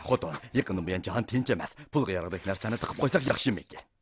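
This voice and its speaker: A man's voice: fairly low pitch, animated, very fast, noisy background, lightly acted. A man voicing a pompous, miserly rich landlord in a cartoon, blustering and whiny, repeating his words. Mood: cautious. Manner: blustering, repeats words, whining when in trouble. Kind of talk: animated cartoon voice acting.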